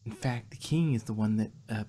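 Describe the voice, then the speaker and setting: A woman's voice: a bass voice, fairly quick, mellow, a little hiss or hum. A woman voicing a flustered, exasperated young female pony scholar in a fan fiction reading. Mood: exasperated, lecturing.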